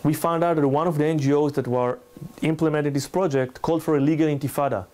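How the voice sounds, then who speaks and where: A man's voice: fairly high pitch, steady tone, a little mellow. Israeli-accented man giving a public lecture. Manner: halting non-native English, emphatic. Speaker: lecturer, NGO researcher. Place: indoor salon room, speaking to a small audience.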